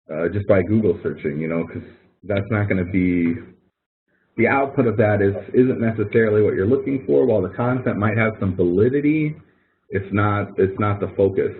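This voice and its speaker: Low-voiced male instructor on a phone-like line. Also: a little hiss or hum. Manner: conversational, coaching, repeats phrases. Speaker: college course instructor leading a webinar. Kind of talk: informal instructional webinar walkthrough. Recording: thin phone-like webinar audio.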